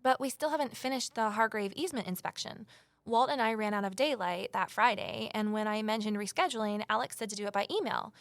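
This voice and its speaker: An actress plays an anxious, earnest young woman investigating small-town trouble in an audio drama. Her voice has a frequent fry and sits very dry, and she confides with intensity. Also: medium pitch, fairly quick, no echo, natural voice. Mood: insistent. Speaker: lead character and first-person narrator. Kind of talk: full-cast audio drama acting and narration.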